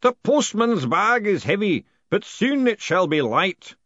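Man doing a booming, raised, pompous ceremonial master. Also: big pitch swings, pushed and bright, no room sound, muffled recording, full character voice. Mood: stern, demanding. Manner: slow, solemn ritual intoning. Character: pompous, booming unseen master of a secret initiation.

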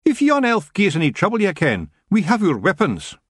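A man's voice: sits high, wildly swooping pitch, moderate pace, slightly rough, no room sound, studio quality, pitched above the performer's own voice, full character voice. A man voicing a tiny rowdy pixie warrior in a storybook, brash and pugnacious, with a Scottish accent. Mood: threatening. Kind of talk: audiobook character voice.

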